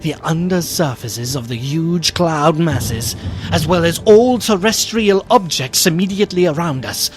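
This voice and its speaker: An actor playing an anxious, theatrical gentleman who narrates a gothic radio play with solemn deliberation. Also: fairly high pitch, animated, faint music behind. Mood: awed. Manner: solemn, theatrical, deliberate, hushed then fervent. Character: gentleman narrator, anxious friend of a doomed nobleman.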